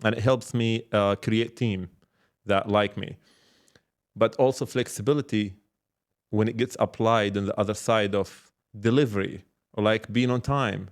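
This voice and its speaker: Male podcast guest, startup mentor type, lowish voice, casual and reflective, restarts phrases as he explains. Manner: halting, repeats words, explains with examples and check-questions. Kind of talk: casual reflective interview talk.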